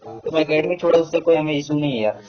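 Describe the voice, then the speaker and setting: A man's voice: fairly high pitch, fast talker, soft background music. A man speaking as a coach in an argumentative, dismissive phone conversation, with a dull, lo-fi sound. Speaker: academy coach on a phone call. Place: phone call. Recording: muffled lo-fi phone call recording.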